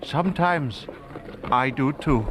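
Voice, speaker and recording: A man playing an earnest, devout Chinese evangelist in a radio drama, speaking in formal, measured phrases. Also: mid-range voice, noisy background, lightly acted. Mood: earnest, troubled. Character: earnest Chinese male evangelist, devout but candidly fearful. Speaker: voice actor in an audio drama. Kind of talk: radio drama dialogue acting.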